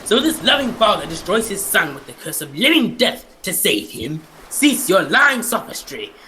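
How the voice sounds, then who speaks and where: A man's voice: sits high, very animated, moderate pace, pushed and bright, a little hiss or hum, exaggerated voice, rough recording. A man playing a bitter, cursed ghost ship captain in declamatory old-time radio melodrama. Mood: bitter, scornful. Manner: declamatory, anguished, vengeful.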